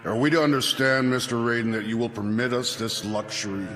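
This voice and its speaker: Man acting proud old army colonel, stiff, clipped, mid-pitched, vintage TV drama in reverberant sound with music. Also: brisk, a bit bright, close to an everyday voice. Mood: wary, demanding. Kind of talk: 1960s television drama acting.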